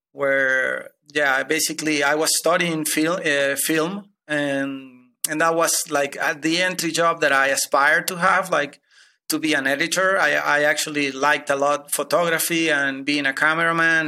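A man's voice: fairly high pitch, pushed and bright. A man in his thirties from Venezuela, a guest telling his money story with many hesitant restarts. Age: 30s. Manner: hesitant, many repeated starts. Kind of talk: personal finance interview.

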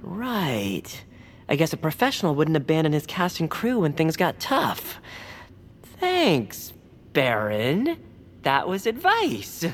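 Earnest, lively young theatre director. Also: female voice, deep voice, slow, a little hiss or hum, heavily acted. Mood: wry, grateful. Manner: earnest, hesitant, conciliatory. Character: earnest, self-doubting amateur theatre director. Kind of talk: scripted comedic audio drama acting.

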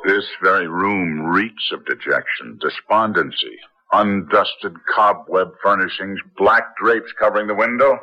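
An actor playing an overwrought man in a radio suspense drama, speaking floridly and theatrically with a husky voice. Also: low-pitched, unhurried. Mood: dramatic, urgent. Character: overwrought man in a gloomy old room. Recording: thin phone-like old radio recording, music.

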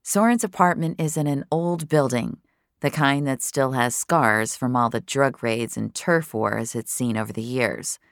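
Gloating serial killer, first-person thriller narration, very low pitch, slow delivery, menacing, fry-laced. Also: female voice, dry recording, studio quality. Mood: quietly mocking. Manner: measured, menacing. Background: dramatic score.

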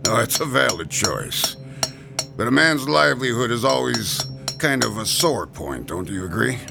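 A man's voice: mid-range voice, big pitch swings, moderate pace, gravelly, over loud music. An actor playing a jovial, heavyset male gravestone carver in a radio drama, folksy and darkly joking. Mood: jovial, teasing.

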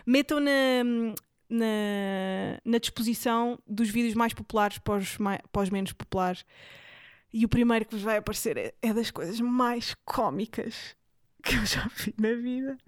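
Chatty Portuguese woman with a smooth voice. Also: on the high side, moderate pace, no room sound, studio quality. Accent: European Portuguese. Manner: rambling, chatty, full of fillers and English words. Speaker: podcast host. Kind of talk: casual chat recommending videos.

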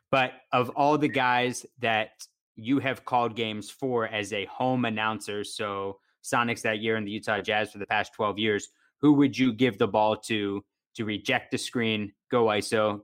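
Lower-voiced American man hosting sports podcast. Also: a bit bright. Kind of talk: conversational podcast interview.

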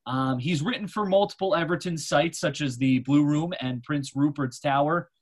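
A man in his twenties from America, hosting a casual podcast and talking in a halting way with many pauses and fillers. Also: on the high side. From United States. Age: 20s. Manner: hesitant, lots of fillers. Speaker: podcast host.

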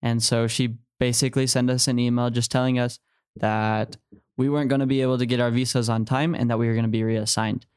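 Young man, smooth and even-toned. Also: medium pitch, fairly quick, no room sound, clean studio sound. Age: early 20s. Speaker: podcast guest, returned missionary. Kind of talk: personal storytelling in an interview.

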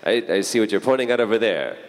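A man performing live improv comedy in a mock-serious, deliberate manner. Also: fairly low pitch, sing-song. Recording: noisy live stage recording.

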